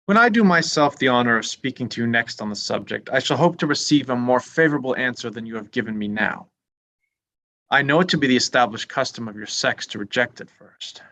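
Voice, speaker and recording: A man performs a pompous young clergyman in a period drama reading. His medium-pitched voice delivers formal, long-winded sentences with obsequious self-importance. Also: lively intonation, fairly quick, sharp tone. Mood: complacent, undeterred.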